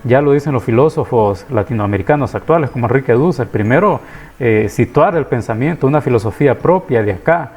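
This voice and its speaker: A man's voice: medium pitch, lively intonation, brisk, darkish tone, husky. A man from Honduras giving a reflective spoken course presentation, hesitant, with many restarts and fillers.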